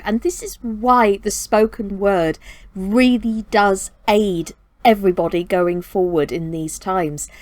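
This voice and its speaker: A woman's voice: medium pitch, dry recording. A woman hosting a children's storytime channel, giving a chatty, earnest update straight to her viewers. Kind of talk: chatty scripted update to camera.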